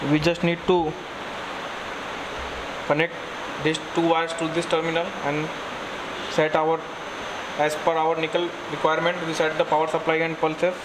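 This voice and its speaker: A young engineer walks through a machine demonstration in a fairly high, flat voice, stopping and restarting as he explains. The workshop recording carries a clear hum of air conditioning behind him. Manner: plain, halting explanation with fillers and restarts. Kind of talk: product demonstration. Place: workshop with machine on a green bench. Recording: noisy workshop room audio.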